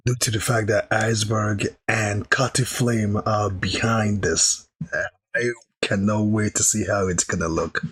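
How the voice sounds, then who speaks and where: Slow, husky-voiced hoarse man. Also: fairly low pitch, steady tone, a bit bright. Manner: chatty, thanks chat viewers between remarks. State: hoarse, recovering voice. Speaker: anime reaction streamer. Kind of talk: live stream reaction commentary.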